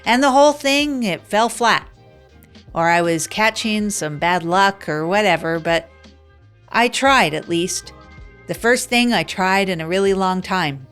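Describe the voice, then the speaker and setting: Rambling, deadpan woman radio host. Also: mid-range voice, a little slow, clean tone, soft background music. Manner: rambling, self-deprecating, deadpan. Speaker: radio show host character. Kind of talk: improvised comedy radio monologue.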